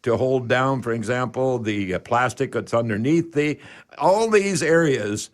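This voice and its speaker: An older man hosting a home repair radio show, explaining in a folksy, halting way and repeating himself for emphasis. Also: medium pitch, animated. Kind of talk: conversational radio advice, explaining to listeners.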